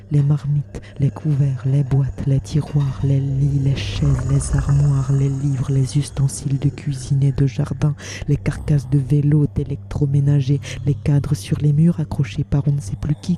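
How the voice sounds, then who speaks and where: A woman narrating literary audio fiction in measured short phrases, with a hushed, breathy voice. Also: rumbling low. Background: music.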